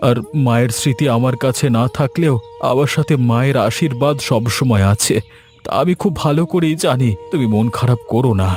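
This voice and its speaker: A man voicing a poor, gentle fisherman father in a cartoon story, speaking in an earnest, plaintive way. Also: mid-range voice, close to an everyday voice. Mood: resigned, soothing.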